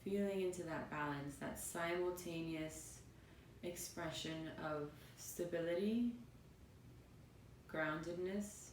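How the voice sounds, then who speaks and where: Woman meditation guide, soothing and spacious phrasing; roomy, echoing recording with faint background rumble. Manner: soothing, unhurried phrases with pauses. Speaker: yoga and meditation teacher. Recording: roomy, distant mic, faint noisy rumble.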